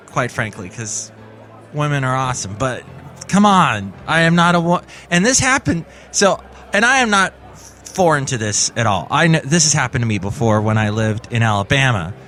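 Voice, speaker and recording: A man hosting a podcast with a rambling, self-mocking comic monologue full of asides, with loud music behind. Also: a little high. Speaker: podcast host. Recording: loud music bed under voice.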